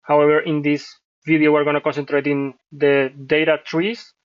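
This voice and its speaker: A man from Colombia whose first language is Spanish, hosting a software tutorial and talking through a screen-share at a measured pace with frequent fillers. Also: a little high, little pitch movement, clean tone, dry recording, dull audio. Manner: thinking aloud, measured, many fillers. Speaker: host reviewing software scripts.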